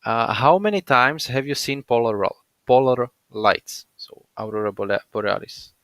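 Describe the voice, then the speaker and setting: A man hosting an interview, asking questions in a halting, hesitant way. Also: mid-range voice, fairly bright tone, no echo. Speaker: host.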